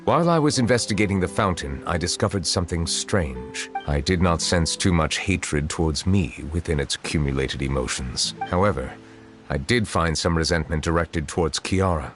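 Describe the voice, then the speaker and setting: A low, formal male voice over game music, an ancient dragon who serves as a solemn chief judge. His speech is measured and grave. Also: lively intonation. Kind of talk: video game voice acting. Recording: game audio with music behind.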